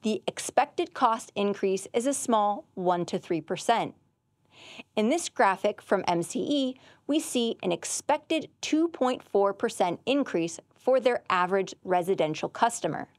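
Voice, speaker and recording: A young woman, an assistant winemaker, giving clear and measured educational narration. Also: mid-range voice, expressive, a little mellow, no echo. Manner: clear, measured, informative delivery.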